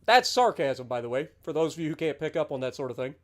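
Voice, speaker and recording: A man's voice: a little high, sing-song, fast talker. A man in his late thirties, a game streamer, talking through a heated, sarcastic, heavily profane rant on his livestream. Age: 30s.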